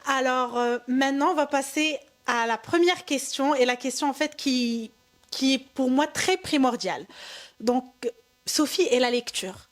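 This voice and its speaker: A woman with a Moroccan accent hosting a podcast interview, mixing French and Moroccan Arabic. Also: sits high. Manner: switches between French and Darija, quick intro. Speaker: podcast host.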